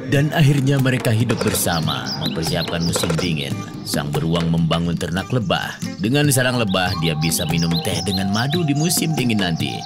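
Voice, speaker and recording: A man's voice: low-pitched, big pitch swings, normal speed. A man narrating a scripted children's story as the storyteller. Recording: music behind the voice. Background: music.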